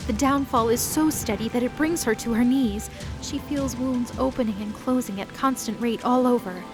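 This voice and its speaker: A woman narrating an audio drama in a measured storytelling voice. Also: on the high side. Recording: narration over loud music.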